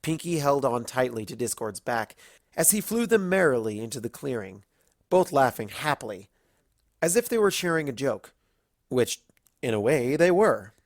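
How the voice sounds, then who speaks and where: A narrator reading scripted fan fiction as an animated storyteller, with dramatic pauses. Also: medium pitch, dry recording, studio quality.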